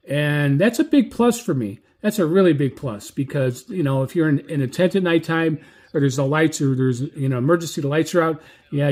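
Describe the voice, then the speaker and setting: Male product reviewer, slightly high voice, conversational and rambling, casual hands-on delivery. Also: a little mellow. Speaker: radio reviewer.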